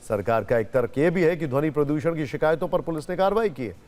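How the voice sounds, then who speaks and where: A man's voice: on the high side, animated, fast, a little mellow. A man presenting television news as an anchor, explaining points with emphasis.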